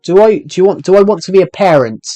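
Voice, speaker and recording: A man in his twenties with a thick British accent talks through a live game stream, tripping over his own sentences as he answers viewer questions. His voice sits slightly higher than most men's. The sound is dry and close, with no room echo. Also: animated, quick pace, mellow, muffled recording, faint music behind. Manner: rambling, restarts sentences while answering chat questions. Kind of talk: casual live stream chat.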